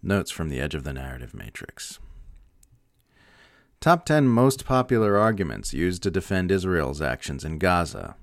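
A man with a very low, gravelly voice reads political commentary aloud. His delivery is emphatic and sardonic, moving widely in pitch, and the recording is close and dry. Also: big pitch swings, normal speed, dry recording. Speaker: narrator reading political commentary. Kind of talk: scripted opinion essay read aloud.

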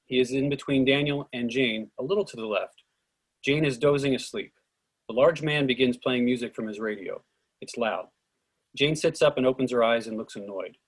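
A man's voice: medium pitch, normal speed, slightly rough, rough recording. A man reading stage directions aloud as scripted narration for a screenplay reading.

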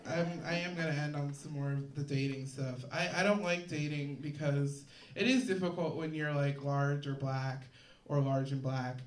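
A woman with a deep voice performing a live stand-up set on stage, rambling with halting restarts and a dry delivery. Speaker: stand-up comedian. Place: comedy stage in a room. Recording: echoey room from far off, noisy.

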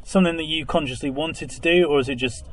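A young man interviewing a band member in a casual chat, asking halting questions full of restarts and "obviously". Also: a little high.

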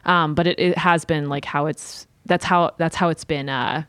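A woman's voice: low-pitched, fairly even intonation, moderate pace, fairly bright tone, clear, resonant tone, dry recording. A woman speaking as a podcast panelist, telling things in an informal, conversational way peppered with "like". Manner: informal, frequent use of "like". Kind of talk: casual conversational storytelling.

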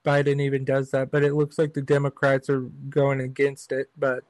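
A man's voice: medium pitch, monotone, moderate pace, darkish tone, no echo. A man hosting a podcast over a video call, with a stutter, swearing casually and agreeing often. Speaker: podcast host.

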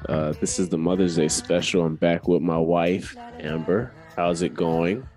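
A man's voice: sits low, steady tone, measured pace, darkish tone. A man hosting a music radio show in a casual, chatty style, introducing songs.

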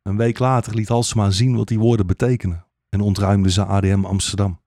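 A man's voice: lowish voice, level tone, a little fast, mellow, no room sound, studio quality. A man narrating a satirical fairy-tale radio play as a storyteller.

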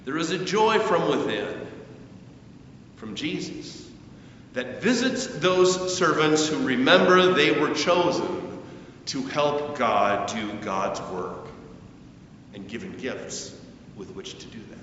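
A man preaching a sermon as a pastor, with measured, deliberate phrasing, emphatic rises and falling sentence endings. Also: fairly bright tone. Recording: very echoey church, distant mic, muffled, faint rumble.

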